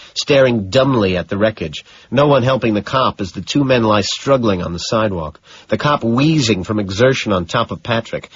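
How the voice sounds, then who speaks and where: Low-voiced male audiobook narrator, slightly husky. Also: highs cut off. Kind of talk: dramatic scripted narration of a novel.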